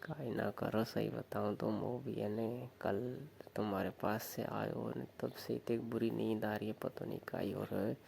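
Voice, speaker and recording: A man acting as a Rajasthani village lover on a phone call, coaxing and suggestive. His voice is very gravelly and slow, at a middling pitch, with faint noise on the recording. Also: fairly even intonation, a little mellow. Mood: earnest, suggestive. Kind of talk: staged phone call, casual flirtatious chat.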